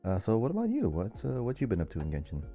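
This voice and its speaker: A man's voice: lowish voice, wildly swooping pitch, fast talker, dark tone, faint music behind. A man co-hosting a gaming podcast, chatting in a casual, conversational way. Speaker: podcast host.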